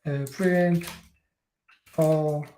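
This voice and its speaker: Slow, halting man with a Polish accent. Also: fairly high pitch, fairly even intonation, warm tone, reverberant. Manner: halting, many fillers and restarts. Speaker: tech presenter. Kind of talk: live coding talk.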